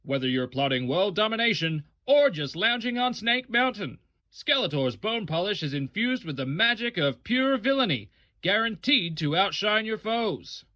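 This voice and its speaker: A high-pitched, wildly swooping skeletal villain sells wares in a parody radio ad. He is theatrical, boastful and gleefully menacing, with a sharp, forceful edge. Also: big pitch swings, normal speed, exaggerated voice. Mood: gloating menace.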